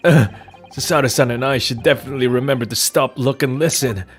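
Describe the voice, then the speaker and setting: A man's voice: medium pitch, fast, faint music behind, natural voice. A man voicing an earnest, polite and hesitant young defense lawyer in a courtroom video game. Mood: polite, unsure. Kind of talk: dramatic video game dub.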